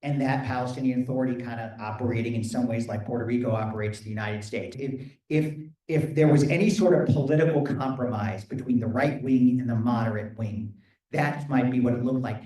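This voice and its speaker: Rabbi lecturing in an echoey hall. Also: male voice, medium pitch, brisk, dark tone, distant mic. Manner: thoughtful, thinking aloud with restarts. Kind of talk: lecture answering audience questions. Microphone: microphone failing, speaking loud from a distance.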